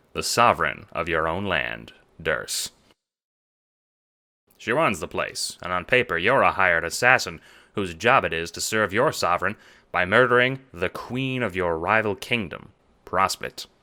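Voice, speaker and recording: A man narrating a fan audio drama in a dramatic, measured noir style. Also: low voice, pushed and bright. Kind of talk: second-person noir narration.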